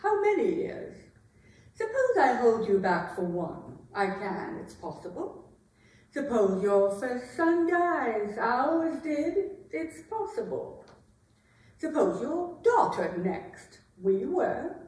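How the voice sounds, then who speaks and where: Lady doing a scornful older queen on stage, high voice swooping around, slow and biting, super echoey. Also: sing-song, a little mellow. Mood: taunting, menacing. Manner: biting, deliberate, dramatic delivery. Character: bitter, taunting aging queen, scorned wife and mother. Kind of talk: theatrical staged dramatic reading. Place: arts center stage, recorded from afar. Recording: very echoey hall, distant mic.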